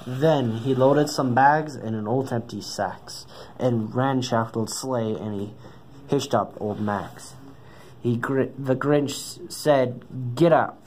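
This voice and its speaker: A man's voice: mid-range voice, a little mellow. A man reading a children's story aloud, stumbling now and then over the words. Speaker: storybook reader. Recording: noisy amateur recording.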